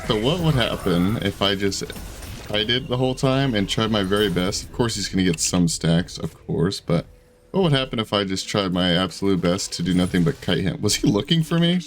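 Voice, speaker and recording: A man in his twenties giving casual, chatty gameplay commentary from his room, self-deprecating and quick to laugh. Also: fairly low pitch, expressive. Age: 20s. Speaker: gaming streamer. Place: home room at a desk.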